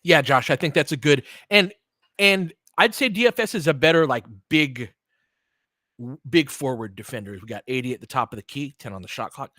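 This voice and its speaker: A man's voice: medium pitch, brisk, pushed and bright, dry recording, studio quality. A man calling a basketball game in a conversational, slangy way, swearing casually as he mixes play-by-play with analysis. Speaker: podcast host doing play-by-play.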